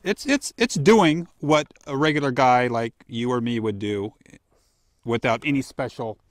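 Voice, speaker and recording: A man's voice: medium pitch, measured pace, dry recording. A middle-aged man with a mid-range voice talks shop in a folksy, plain-spoken way. He rambles on happily in a live chat from an open-sided tent at a range, and the sound is dry. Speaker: guest product expert. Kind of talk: casual live product chat.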